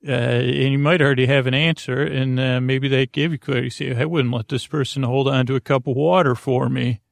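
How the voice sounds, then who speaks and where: A man hosting a sleep podcast, talking slowly and meandering with lots of filler words, mumbles and a creaky, soothing tone. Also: mid-range voice, fairly even intonation, no echo. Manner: slow, meandering, soothing and self-deprecating. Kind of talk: rambling bedtime podcast talk.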